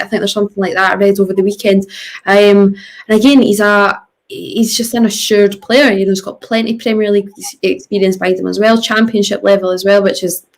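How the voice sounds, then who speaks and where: A woman talking football on a podcast, hesitant with many fillers and restarts. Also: medium pitch. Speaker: podcast panelist. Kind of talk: casual football podcast chat.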